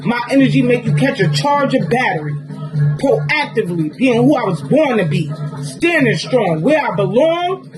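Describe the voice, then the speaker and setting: A woman's voice: big pitch swings, normal speed, rough recording. A woman from New York performing a spoken word poem with an intense, rhythmic, emphatic delivery. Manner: intense, rhythmic, emphatic delivery, swinging between low and high. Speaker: poet. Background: guitar music.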